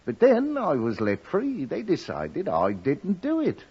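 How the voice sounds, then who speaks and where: An actor playing a simple, remorseful condemned cattle thief with a husky voice in a 1950s radio drama. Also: male voice, on the high side, wildly swooping pitch, a little slow, a little mellow, exaggerated voice. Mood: gloomy resignation. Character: doomed male cattle thief, resigned, simple, remorseful drinker. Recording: muffled vintage 1950s radio recording.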